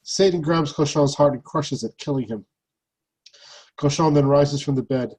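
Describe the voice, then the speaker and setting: A man's voice: on the high side, normal speed, a little mellow, husky. A man reading stage directions as scripted narration for a play's table read.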